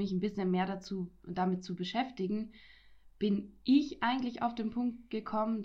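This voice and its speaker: A woman's voice: mid-range voice, darkish tone. A young woman from Franconia in Germany, co-hosting a podcast in a casual, reflective chat. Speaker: podcast co-host. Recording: dull, lo-fi podcast recording.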